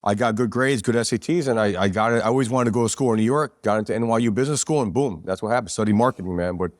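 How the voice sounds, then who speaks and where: A man's voice: lowish voice, rapid-fire, dry recording, studio quality. A man in his forties from New Jersey, a podcast guest telling rambling, profane stories in a casual chat at a pizzeria. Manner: rambling, profane, street-talking. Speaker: podcast guest, business owner.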